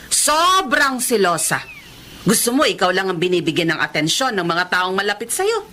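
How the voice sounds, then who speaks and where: A woman's voice: on the high side, sharp tone, a little hiss or hum. A Filipino woman acting in a radio drama as a critical, scolding middle-aged mother.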